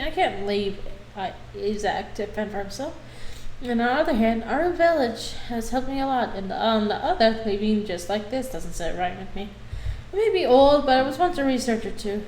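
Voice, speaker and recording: A woman's voice: on the high side, a little mellow, slight background noise. A woman livestreaming a video game, chatting casually and reading dialogue aloud. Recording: roomy echo, music behind.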